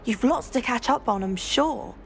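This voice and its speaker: A woman's voice: fairly high pitch, animated, normal speed, gravelly. A woman with a British accent playing an earnest, chatty teenage schoolgirl in a video game. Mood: eager, friendly. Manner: eager, chatty, friendly. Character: earnest, plant-loving teenage schoolgirl named Samantha. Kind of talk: video game dialogue acting.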